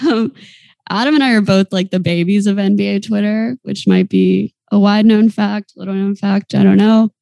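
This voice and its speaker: A woman's voice: medium pitch, normal speed, gravelly, dry recording. A woman in her twenties co-hosting a podcast, chatting casually and telling stories with giggles. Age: 20s. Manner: chatty, giggly, animated storytelling. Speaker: podcast co-host. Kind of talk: casual chat.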